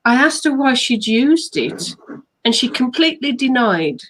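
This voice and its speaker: English woman, co-host of a casual livestream chat, higher register, playful storyteller. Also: very animated, unhurried, rough recording. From England. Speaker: author and hypnotherapist co-host.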